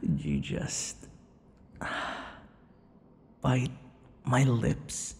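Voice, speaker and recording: A man playing a possessive, cocky, menacing vampire captor in an ASMR roleplay, taunting in a breathy voice that often drops into whispers. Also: mid-range voice, big pitch swings, extremely slow, mellow, long reverb tail, heavily acted. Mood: aroused, amused. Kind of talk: ASMR boyfriend audio roleplay.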